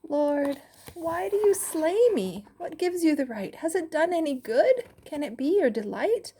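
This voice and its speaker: A woman's voice: high-pitched, expressive, a little slow, dark tone, a little hiss or hum, voice pushed up. A woman voicing a suffering righteous man who pleads with God in anguished rhyme. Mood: pleading. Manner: anguished, rhyming lament.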